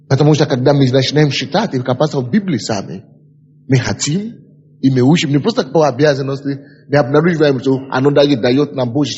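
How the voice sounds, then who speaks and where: Husky pastor in an echoing hall. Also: male voice, medium pitch, warm tone. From Africa. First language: an African language. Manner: emphatic, repeats key phrases and asks the class questions. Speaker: pastor teaching a ministry school. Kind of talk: exhorting lecture. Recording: dull lo-fi tape with long hall reverb.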